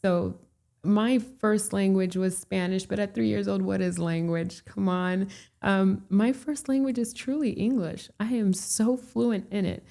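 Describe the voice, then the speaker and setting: A woman from Puerto Rico, a guest in a casual interview, who talks in an animated, chatty way and laughs often. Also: medium pitch, unhurried, gravelly. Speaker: real estate broker, podcast guest.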